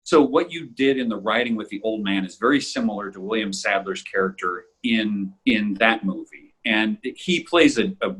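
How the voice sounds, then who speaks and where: Male voice actor type in relaxed conversation: slightly rough-edged, reflective, meandering, circling back over his words. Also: lowish voice, moderate pace, few pauses, low-quality recording. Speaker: voice actor in an audio drama group. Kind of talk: casual behind-the-scenes chat.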